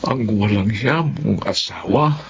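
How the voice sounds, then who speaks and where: Stern Filipino older brother, muffled audio. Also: male voice, lowish voice, slow, vocal fry. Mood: indignant. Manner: emphatic, admonishing. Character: stern, protective older brother, indignant and blunt. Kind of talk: Filipino radio drama acting. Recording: dull lo-fi audio with faint noise.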